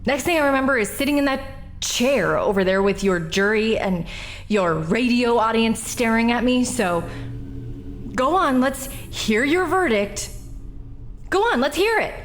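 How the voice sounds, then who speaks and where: A woman's voice: a little high, animated, faint music behind. An actress playing a bitter, defiant woman who confesses a killing in a radio drama. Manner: bitter, defiant, rushed when reliving the fight.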